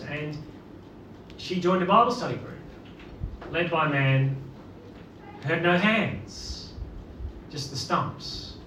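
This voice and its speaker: A middle-aged man from Northern Ireland with a Northern Irish accent, preaching a sermon and asking the congregation rhetorical questions. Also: fairly high pitch. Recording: roomy, distant mic with background noise.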